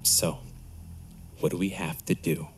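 An actor playing a nervous man who killed his wife, muttering to himself in hushed tones in an audio drama. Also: low voice, level tone, unhurried, a little hiss or hum. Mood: tense. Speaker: murderer hiding his wife's body.

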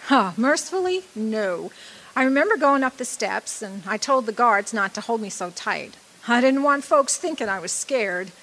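A woman playing a loud, brassy, flashy dead woman, wry, defiant and flirtatious, in a radio drama. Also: on the high side, expressive, moderate pace, low-quality recording. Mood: flirty, wry.

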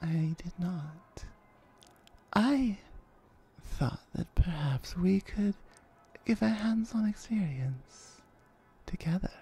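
Flirtatious female hellhound acted by a woman for ASMR roleplay: confident, unhurried, creaky, lingering whispers. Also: lowish voice, lively intonation, mellow, full character voice. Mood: suggestive. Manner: slow, suggestive, lingering, with breathy whispers. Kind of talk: roleplay ASMR audio drama.

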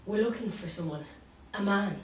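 A woman's voice: medium pitch, normal speed, recorded from a distance, a little hiss or hum, lightly acted. A woman playing a blunt, suspicious client at a séance in a stage comedy. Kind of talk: stage comedy sketch acting. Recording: echoey room, picked up from afar.

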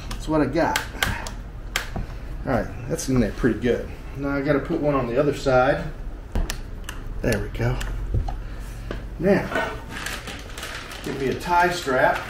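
Casual DIY vlog host: American male, fifties, folksy and jokey, mid-pitched, recorded in a reverberant room with background noise. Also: expressive, takes it slowly, pauses often. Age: 50s. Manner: folksy, joking, thinking aloud. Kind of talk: casual chat while demonstrating DIY. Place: small tiled living room indoors. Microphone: handheld action camera, varying distance.

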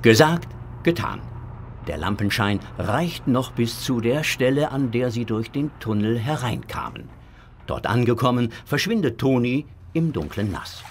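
A man's voice: mid-range voice, big pitch swings, measured pace, a little hiss or hum. A man narrating a children's audio drama from a script, in the present tense.

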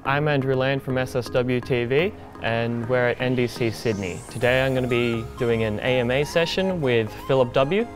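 Young male host, mid-pitched, calm inquisitive interviewer with soft music under him. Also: moderate pace, hardly any pauses. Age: young adult. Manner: relaxed, thoughtful questioning with small fillers. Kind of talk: interview questions. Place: conference interview booth, seated in armchair.

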